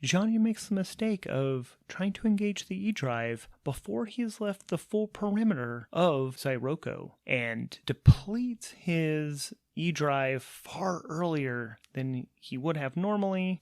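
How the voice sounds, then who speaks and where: A man's voice: on the high side, vocal fry, dry recording, clean studio sound. A man narrating a solo tabletop role-playing game as host and player, thinking aloud between casual rules talk and storytelling.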